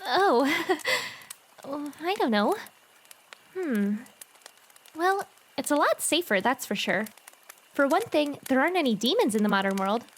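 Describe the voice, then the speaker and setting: A woman's voice: high voice, a little slow, pauses often, a little hiss or hum. A woman playing a chatty, bubbly modern schoolgirl in a fan audio drama. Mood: cheerful, reminiscing. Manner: chatty, expressive.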